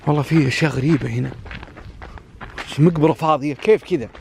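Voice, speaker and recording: A young man filming a night vlog outdoors on a phone, speaking in hushed, tense tones and murmuring prayers between orders. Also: fairly high pitch, quick pace, vocal fry, slight background noise. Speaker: vlogger. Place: outdoors at night, abandoned cemetery.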